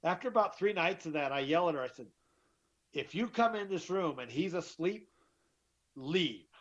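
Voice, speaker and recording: A man's voice: expressive, moderate pace, a bit bright. Middle-aged man, emphatic, lo-fi sound. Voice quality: deeper voice. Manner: emphatic, repeats and restarts words. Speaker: guest, author. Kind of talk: interview storytelling. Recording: dull lo-fi sound.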